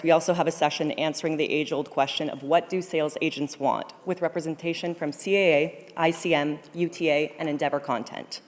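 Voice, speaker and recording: A woman hosting a conference, delivering a scripted welcome speech to open the event. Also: deep voice. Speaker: conference co-programmer, host introducing event. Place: large studio auditorium, on stage. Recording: echoey hall with long reverb.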